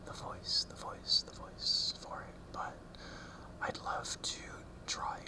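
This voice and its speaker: A man whispering a rambling ASMR talk close to the mic, softly repeating words as triggers, with ums and small nervous laughs. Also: slow pace. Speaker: ASMR creator. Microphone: close ASMR mic, brushed.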